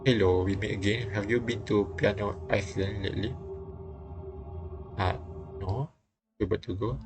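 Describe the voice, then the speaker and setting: A man streaming a visual novel, reading it aloud haltingly with casual comments. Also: fairly low pitch. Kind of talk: live read-aloud narration with casual comments. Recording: game music behind. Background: game music.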